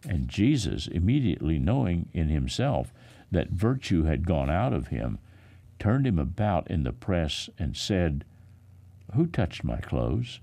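A man, a pastor, reading the Bible aloud in a solemn, dramatic style with measured, expressive emphasis. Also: sits low, gravelly.

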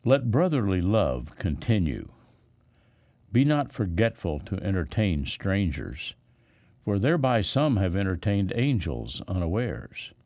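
A man reading the Bible aloud as a pastor, in a deliberate, solemn, phrase-by-phrase delivery. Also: low-pitched, very animated, darkish tone, dry recording. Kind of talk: measured Bible reading with brief commentary.

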